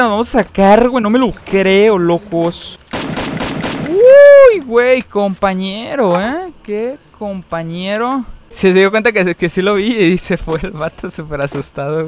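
A young man with a Mexican accent giving excitable, slangy live commentary while playing a mobile shooter, with frequent high outbursts. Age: young adult. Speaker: gaming streamer. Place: indoor room at a desk, facecam. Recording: game music underneath. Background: game music.

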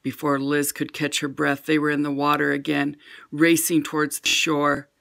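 A woman's voice: sits low, moderate pace, gravelly. Older woman reading a picture book aloud. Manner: gentle expressive storybook reading. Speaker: storyteller.

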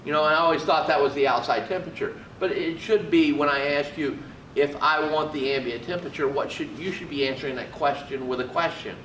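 A man's voice: a little high, fairly quick, fairly bright tone, slight background noise. A man, a trainer teaching and quizzing students in a casual classroom manner. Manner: quizzing students, seated and gesturing broadly. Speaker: HVAC trainer. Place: training room with an AC unit. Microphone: camera mic from across the room. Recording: echoey room, voice from a distance.

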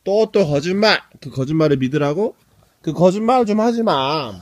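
Man acting out jeering schoolyard bully, pitched up. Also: expressive, close to an everyday voice. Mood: scornful. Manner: jeering, drawn-out taunts. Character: mocking, bullying schoolchildren in a storybook.

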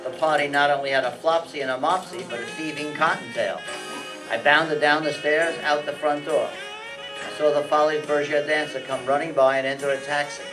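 A man's voice: fairly high pitch, moderate pace, close to an everyday voice. Wry private detective, played by a man, distant mic. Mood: narrating drily. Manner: deadpan, wry. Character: wry, flirtatious hard-boiled male private detective. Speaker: amateur radio play performer. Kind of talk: old-time radio detective narration and dialogue. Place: meeting room stage before seated audience. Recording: distant room microphone, music behind.

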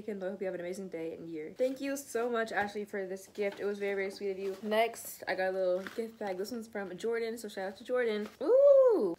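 A woman's voice: medium pitch, a little hiss or hum. A sixteen-year-old young woman with an American accent, talking casually to the camera like a vlogger. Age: 16, teenager. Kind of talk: casual vlog to camera, opening gifts. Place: small bedroom, later a home kitchen.

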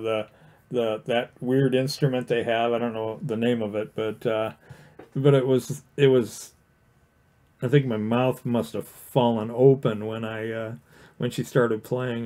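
Mellow-voiced man teaching watercolor painting. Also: mid-range voice. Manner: chatty, rambling, full of fillers and restarts; talks while painting, plain and encouraging. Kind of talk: casual livestream painting demonstration. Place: indoor studio with overhead camera on the painting desk.

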